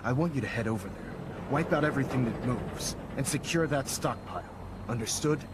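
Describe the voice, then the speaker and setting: An actor playing a zealous, authoritative military leader in a video game, speaking in formal, oratorical style. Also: male voice, medium pitch, lively intonation, a little fast, very noisy, natural voice. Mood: stern, commanding. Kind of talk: video game dramatic dialogue.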